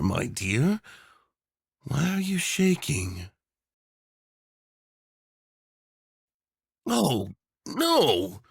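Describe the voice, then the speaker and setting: A man's voice: sits high, creaky, husky, no room sound, clean studio sound, voice pushed up, heavily acted. Man playing a swooping, sugary, unhinged lovesick tyrant. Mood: sweetly teasing. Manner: theatrical, saccharine and teasing, with pet names. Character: doting, unhinged, rich tyrant, obsessively in love. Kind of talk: yandere ASMR roleplay acting.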